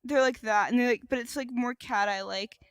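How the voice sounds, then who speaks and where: Woman, higher-pitched voice, podcast co-host, halting conversational delivery, close dry sound. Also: smooth, no room sound. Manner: halting, improvised descriptions with frequent "like". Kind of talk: casual podcast chat.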